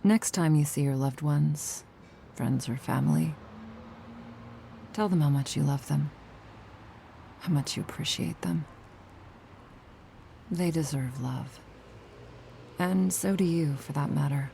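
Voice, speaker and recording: A woman playing an ageless vampire gardener in a slow, intimate ASMR roleplay, measured and solemn with a deadpan edge and a soft, sometimes breathy voice. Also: sits low, a little hiss or hum, exaggerated voice. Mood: tender, firm. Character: ageless vampire woman gardener, sardonic yet tender, protective.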